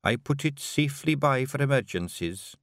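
A man reading aloud and voicing a small, fierce female fairy clan leader in a Scottish accent, coaxing and brisk. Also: mid-range voice, animated, no room sound, studio quality, close to an everyday voice. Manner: brisk, coaxing, then shouting.

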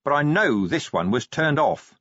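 A man playing a gruff, weary middle-aged watch commander who speaks bluntly and grumbles. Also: on the high side, very animated, moderate pace, a bit bright, no room sound, voice pushed up, full character voice. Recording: dry, slightly dull recording.

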